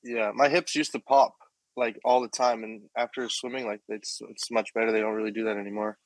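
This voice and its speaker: A man as a podcast guest, giving short soft-spoken answers with lots of "like". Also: on the low side, little pitch movement, brisk, no room sound, low-quality recording. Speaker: podcast guest, grappling athlete. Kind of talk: casual podcast chat.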